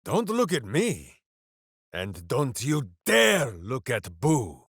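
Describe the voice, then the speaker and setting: A big, simple-souled heroic berserker from a fantasy video game. He is bombastic and good-hearted, with slow, deliberate phrasing that rises and falls dramatically. The recording is close and dry. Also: medium pitch, huge pitch swings, vocal fry, fairly bright tone, breathy edge, dry recording, heavily acted. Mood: gruff, earnest. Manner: bombastic, earnest, talks of himself in third person.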